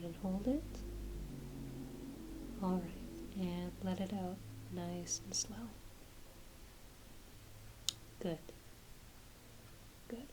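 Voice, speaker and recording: Woman as a slow, whispering, gentle doctor. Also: lowish voice, background noise. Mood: soothing, attentive. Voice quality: soft, mostly whispered. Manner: unhurried, murmured reassurances. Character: gentle, reassuring werewolf doctor giving an exam. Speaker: ASMR performer. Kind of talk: whispered ASMR medical roleplay.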